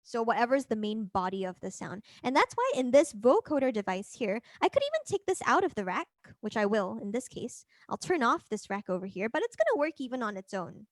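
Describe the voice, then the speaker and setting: A woman presenting a music production workshop as an informal software demonstration, chatting and thinking aloud as she works. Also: a little high, sing-song, brisk, few pauses.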